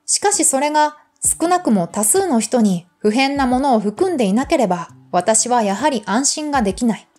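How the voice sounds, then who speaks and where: Female audiobook narrator, high voice, formal measured essay reading over background music. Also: animated, darkish tone.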